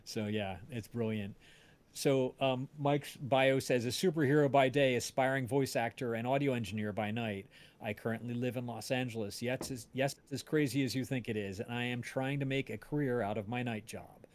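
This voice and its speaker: Halting man hosting a casual livestream. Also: medium pitch. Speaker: host of a cast and crew livestream, audio drama writer. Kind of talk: casual livestream chat about a show.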